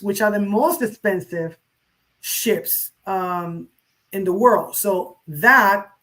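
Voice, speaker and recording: Emphatic woman. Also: medium pitch. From San Juan, Puerto Rico. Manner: emphatic, opinionated, direct to the listener. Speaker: interview guest, social media crypto educator. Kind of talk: conversational interview.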